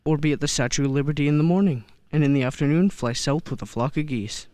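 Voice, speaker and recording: An actor playing a kindly old Santa figure in a radio play, gentle and hesitant, often repeating words. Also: medium pitch, fast talker, no echo, close to an everyday voice. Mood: playful, kindly. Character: kindly old man who believes he is Santa. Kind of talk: stage-style radio play acting.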